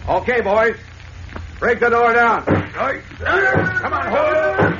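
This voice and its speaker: An actor playing a hot-tempered, impatient police inspector, barking orders in 1940s radio style. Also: male voice, very high-pitched, sing-song, slow, music in the background, heavily acted. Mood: urgent. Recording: muffled, noisy old radio recording.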